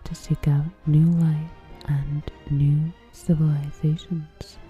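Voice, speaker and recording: A woman narrating a scripted sci-fi introduction in a hushed, measured ASMR style. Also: sits low, little pitch movement. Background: music.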